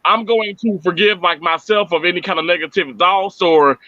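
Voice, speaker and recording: A man's voice: high-pitched, moderate pace, bright, forceful tone, no room sound, lo-fi sound. A man from Mississippi chatting on a live stream from his family home, upbeat, earnest and faith-filled. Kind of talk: casual live stream chat.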